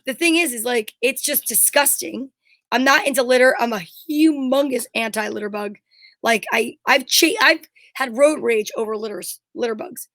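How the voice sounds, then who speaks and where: A woman's voice: on the high side, fairly bright tone, no room sound. A woman co-hosting a casual chat show, talking in a blunt, emphatic, rambling way and swearing freely. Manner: rambling anecdotal storytelling. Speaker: show co-host.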